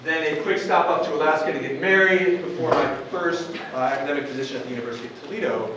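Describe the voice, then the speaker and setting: A man in his forties from Buffalo, New York, giving a relaxed public lecture as a professor, with frequent ums and restarts. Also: a little high, fast. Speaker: university professor. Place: campus lecture hall. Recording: echoey hall from far off with noise.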